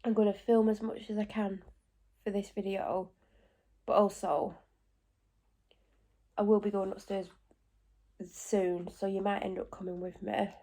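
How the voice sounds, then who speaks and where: A woman's voice: medium pitch, flat delivery, dark tone. Stuffy woman with a cold, rambling vlog chat at home, lots of little asides. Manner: rambling, talks in quick asides and self-corrections. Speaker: vlogger. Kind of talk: casual chatty vlog.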